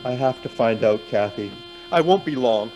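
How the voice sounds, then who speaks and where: A man playing a stubborn, guilt-ridden husband in a radio play, halting as he talks his way through a snowstorm. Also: medium pitch, animated, darkish tone, highs cut off, soft background music, natural voice. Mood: quietly determined. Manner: halting, muttering to himself.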